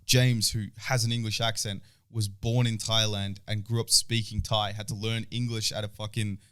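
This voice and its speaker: A young man who co-hosts a podcast. He tells a bar story in casual chat, animated and swearing freely. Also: fairly low pitch, moderate pace, smooth. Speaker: podcast co-host.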